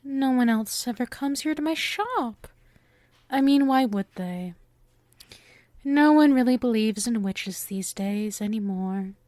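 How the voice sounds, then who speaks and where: Woman acting a slow, coy, lovestruck witch. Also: fairly high pitch, lively intonation, darkish tone, dry recording. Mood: coy, wry. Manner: coy, teasing, affectionate, theatrical. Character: sweet, possessive, lovestruck witch shopkeeper, young woman. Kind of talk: ASMR roleplay, intimate scripted acting.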